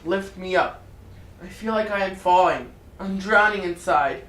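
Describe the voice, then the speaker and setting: A woman performing a dramatic reading as a tormented, despairing figure possessed by demons, swinging between hushed and loud pleading. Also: lowish voice, a little slow. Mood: desperate, weak. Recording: roomy echo, music underneath.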